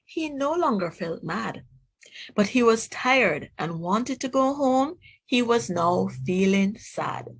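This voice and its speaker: A woman reading a children's storybook aloud in a deliberate, expressive way, speaking directly to young listeners. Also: a little high, vocal fry, slightly rough.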